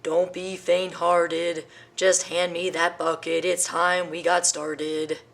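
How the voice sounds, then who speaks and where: A woman voicing a wise male elephant who speaks slowly and deliberately. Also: lowish voice, flat delivery. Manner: slow, deliberate and reassuring.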